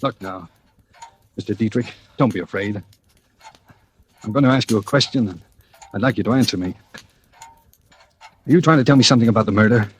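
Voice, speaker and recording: A man's voice: on the low side, moderate pace, long pauses, slight background noise, close to an everyday voice. An actor playing a desperate amnesiac man wanted for murder in a 1940s radio thriller, urgent and insistent, on an old radio recording. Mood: coaxing, hushed. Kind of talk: 1940s radio thriller acting with first-person narration.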